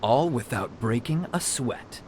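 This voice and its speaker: A man's voice: medium pitch, expressive, unhurried, a little mellow, a little hiss or hum, natural voice. A man voicing an earnest young martial arts disciple in a video game, explaining things keenly. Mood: earnest, admiring.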